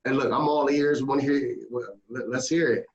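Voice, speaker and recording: A man co-hosting a casual interview, asking rambling, halting questions. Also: mid-range voice, lo-fi sound. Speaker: podcast co-host. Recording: echoey, distant from the mic.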